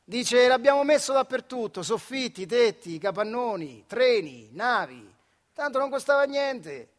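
A male stage actor mimics a glib official announcer from television, raised high in pitch. He declaims with false reassurance. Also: sing-song, a little slow, long reverb tail, heavily acted. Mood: declamatory. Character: glib, official television newsreader quoting asbestos experts. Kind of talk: theatrical parody of public announcement.

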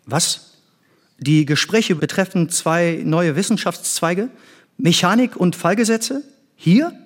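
An actor playing an earnest middle-aged scientist and former pupil in a stage drama, intense and theatrical. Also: male voice, high-pitched, slow. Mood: stunned, urgent.

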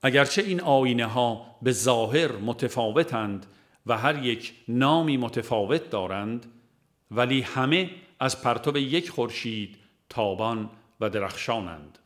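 A man narrates a religious audiobook in a measured, formal reading manner. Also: medium pitch, lively intonation. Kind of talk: scripted narration of a religious book.

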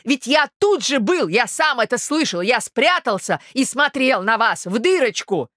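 Woman acting an agitated, pleading young gentleman. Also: fairly high pitch, very animated, unhurried, no room sound, clean studio sound. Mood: insistent. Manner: insistent, earnest entreaty. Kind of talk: dramatised literary audiobook.

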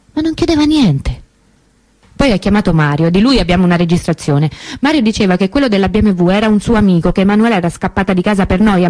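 A woman's voice: mid-range voice, dark tone, close to an everyday voice. Woman acting anguished sister in theatrical monologue. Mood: urgent, aggrieved. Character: anguished older sister of a vanished girl, testifying. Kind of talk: theatrical monologue for radio theatre, intimate testimony.

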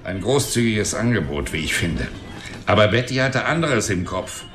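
A man's voice: lowish voice, animated, moderate pace, a bit bright, echoey room, soft background music. An actor playing a cranky, cocky old man, a wisecracking and belligerent mechanic, in a German radio drama. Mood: boastful, grumbling. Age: older. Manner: wisecracking, belligerent, long-winded.

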